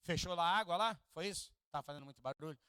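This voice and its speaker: A Brazilian pastor with a Rio de Janeiro accent and a fairly high voice preaches in a church. He swings between quiet, low asides and loud, lifted peaks, and he jokes with the congregation and asks them to repeat after him. The sound is close and dry, with little room echo. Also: fast, smooth. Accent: Carioca Portuguese. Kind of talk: sermon. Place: church hall.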